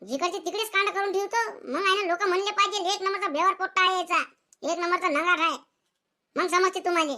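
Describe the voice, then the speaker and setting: Defiant cartoon schoolboy, piercing bright voice, fast, whiny, threatening, rural Maharashtrian Marathi accent. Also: very high voice, steady tone, heavily acted. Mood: boastful threats. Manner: whining, boastful, threatening. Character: bratty, sulky, defiant village schoolboy son. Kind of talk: comedic cartoon skit dialogue.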